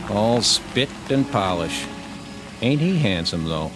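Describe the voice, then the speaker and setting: An American actor playing a sardonic, war-weary infantry soldier who wisecracks in a radio drama. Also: male voice, lowish voice, big pitch swings, slow pace, over music. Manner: drawling, sardonic.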